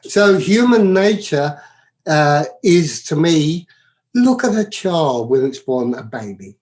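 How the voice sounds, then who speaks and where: A man talking as a podcast guest in a reflective, philosophical way, halting and often repeating his words. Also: on the high side, very animated, darkish tone. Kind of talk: reflective, spiritual casual talk.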